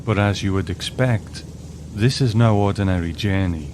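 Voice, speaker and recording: A man's voice: lowish voice, moderate pace, noisy background. A man hosting a show with scripted narration, introducing and discussing a story. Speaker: host.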